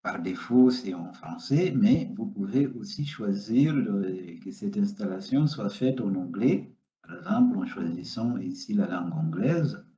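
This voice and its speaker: A man whose first language is Portuguese, giving an unhurried, step-by-step software tutorial with many hesitations. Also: on the low side, lively intonation. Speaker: data science instructor. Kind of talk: screen-recorded software tutorial. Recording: roomy, dull lo-fi sound.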